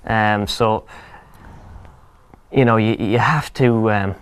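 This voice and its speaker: A man's voice: on the low side, slow pace, clean tone. A man in his twenties, a native German speaker from Germany, giving casual, thoughtful interview answers in English as a jockey. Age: 20s. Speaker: professional flat jockey.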